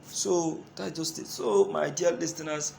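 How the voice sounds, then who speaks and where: A man's voice: fairly high pitch, steady tone, measured pace, warm tone. A man with a Nigerian accent giving opinionated political commentary to his listeners, emphatic and repeating phrases for stress. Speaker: host of a political news commentary show. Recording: clearly audible background noise.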